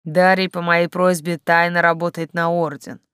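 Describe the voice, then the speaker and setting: A woman's voice: fairly low pitch, little pitch movement, normal speed, fairly bright tone, clear, resonant tone, no echo, studio quality, lightly acted. A woman voicing a grave, commanding king in an audiobook. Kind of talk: audiobook character acting.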